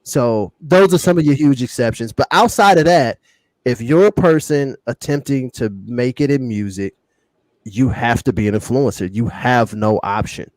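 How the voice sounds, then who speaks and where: A man's voice: medium pitch, normal speed, darkish tone, no room sound, rough recording. A man with an American accent in a casual panel discussion, speaking emphatically and repeating words and phrases to drive points home. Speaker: podcast panelist.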